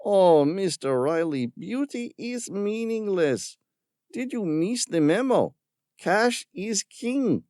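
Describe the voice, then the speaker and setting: A man reading an audiobook puts on a greedy villain with a gun, sarcastic and patronizing, with an affected Spanish-tinged lilt. The voice sits well above the reader's own and swoops lively as it mocks. It is recorded close and dry. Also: high-pitched, slow pace, dry recording, pitched above the performer's own voice, full character voice. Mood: mocking. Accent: affected Spanish-tinged accent. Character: sneering, greedy male villain caretaker with gun. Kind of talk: audiobook character voice.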